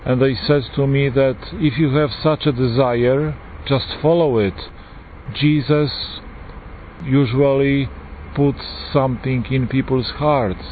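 A man in his forties with a Polish accent, a priest giving an earnest, unhurried personal testimony with frequent pauses. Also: medium pitch. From Poland. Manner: earnest, unhurried, pausing often. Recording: tinny phone-like line with faint hiss.

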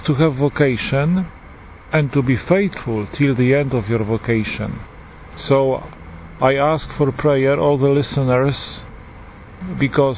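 A man in his forties with a Polish accent, a priest giving an earnest, unhurried personal testimony with frequent pauses. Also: mid-range voice. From Poland. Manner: earnest, unhurried, pausing often. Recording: tinny phone-like line with faint hiss.

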